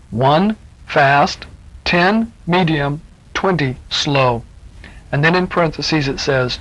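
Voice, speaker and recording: A man's voice: fairly high pitch, a bit bright, poor audio. A man giving a patient, encouraging spoken tutorial as an instructor, explaining each step plainly on a 1970s educational cassette.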